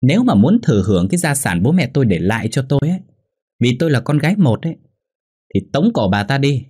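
Spiteful, bossy wife for an audio drama, played by a man: shrill, pushed-up pitch, scornful delivery. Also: very animated, fast, a little mellow, slightly rough. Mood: scornful, demanding. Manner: hissing, shrieking, scornful. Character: shrill, spiteful, domineering middle-aged wife.

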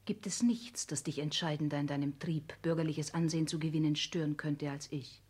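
Husky, breathy, proud wounded lover. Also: female voice, low-pitched, fairly even intonation, a little mellow. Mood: pointed, wounded. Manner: measured, pointed, formal. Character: proud, wounded, ironic female lover of a careerist. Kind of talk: 1960s German radio play acting.

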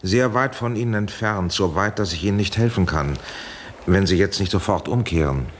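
An actor playing an anxious, authoritative professor in a 1970s German radio drama, urging and imploring in formal speech. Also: male voice, deep voice, normal speed, a little hiss or hum, natural voice. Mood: insistent. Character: anxious authoritative male professor pleading urgently by radio link.

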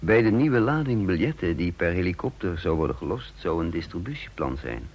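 An actor playing a confident, methodical secret agent in a Dutch radio drama, self-assured and deliberate. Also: male voice, low voice, sing-song, a little mellow, breathy edge, heavily acted. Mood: secretive, methodical. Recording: noisy vintage radio recording.

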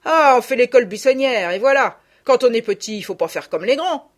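A woman's voice: on the high side, lively intonation, fairly quick, voice pushed up, close to an everyday voice. A woman voicing a good-natured country butcher with homely, folksy speech in an audiobook. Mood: teasing. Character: good-natured country butcher, grown man, gruff and kind. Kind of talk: audiobook character voice.

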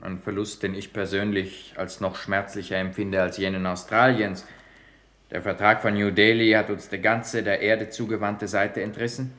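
A man playing a regretful, ceremonious government minister, speaking in formal, deliberate phrases in a 1950s radio drama. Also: fairly low pitch, flat delivery, a bit bright, natural voice.

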